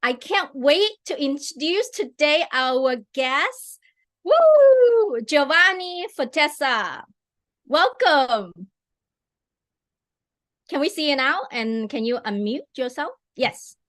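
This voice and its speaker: A woman's voice: high-pitched, big pitch swings, slow pace, fairly bright tone. A middle-aged woman hosting a live online interview over a video call, chatty and enthusiastic, with many fillers and restarts. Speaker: host.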